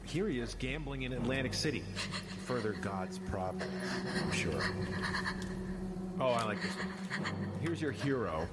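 A man's voice: mid-range voice, animated, normal speed, pauses often, heavy background noise, lightly acted. A man playing a sarcastic cult investigator. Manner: sarcastic, lecturing. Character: cold male cult investigator reading charges. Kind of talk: audio drama acting.

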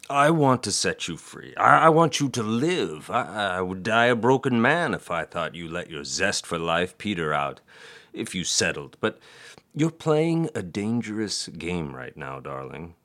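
A man acts out a doting older father for an audio drama. His low voice rises and falls dramatically. He speaks in halting, earnest phrases, stammering as he tries to persuade. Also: big pitch swings, sharp tone, full character voice. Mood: impassioned, earnest.

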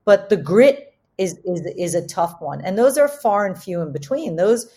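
A woman's voice: mid-range voice, animated, normal speed, mellow, breathy edge. A woman speaking candidly as a company co-founder on a panel, motivational and emphatic, repeating phrases to drive points home. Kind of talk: candid discussion, motivational business talk.

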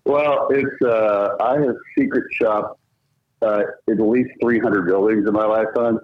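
A man's voice: lowish voice, fairly even intonation, measured pace, slightly rough. A man bantering casually and improvising prank calls as a podcast guest, heard over a lo-fi connection. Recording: dull, lo-fi phone-like connection.

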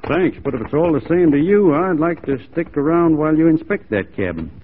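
An actor playing a loyal, easygoing frontier adventurer with dry, folksy irony in 1940s radio style. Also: male voice, on the high side, lively intonation, brisk, natural voice. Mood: wry. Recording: tinny, faintly noisy 1940s radio recording.

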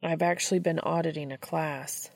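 Woman as a gruff, creaky, awkward man. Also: deep voice, steady tone, moderate pace. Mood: awkward, apologetic.

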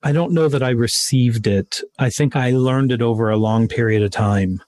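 Man, middle pitch, podcast guest, emphatic, motivational, dry recording. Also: moderate pace, darkish tone, no room sound. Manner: emphatic, motivational, repeats key points. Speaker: guest, sales author. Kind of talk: interview conversation.

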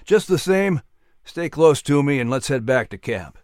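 A man's voice: a little high, expressive, moderate pace, a little mellow, dry recording, studio quality, natural voice. A man voicing a patient uncle who explains the woods like a teacher.

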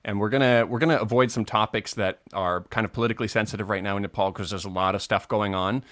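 A man hosting a casual video call chat, talking in a relaxed, hesitant way with many restarts. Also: on the low side, fast talker, no echo. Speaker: host.

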